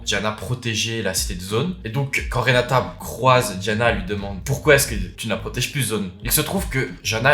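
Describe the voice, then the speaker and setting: A man's voice: lowish voice, sharp tone. A man giving casual, informal commentary on game lore, explaining and speculating as he goes. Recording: roomy, echoey voice recording.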